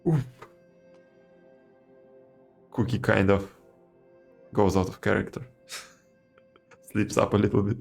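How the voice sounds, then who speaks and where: A woman with an extremely low voice streams a game. Her delivery is casual and unhurried, with plenty of gravelly fry. Game music plays faintly behind her. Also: steady tone, a little mellow. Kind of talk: casual live commentary.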